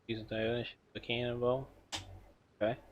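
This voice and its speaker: A man streaming a game, chatting casually with relaxed, mumbled asides and frequent chuckles. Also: medium pitch, unhurried, phone-quality sound, a little hiss or hum. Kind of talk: casual gameplay commentary.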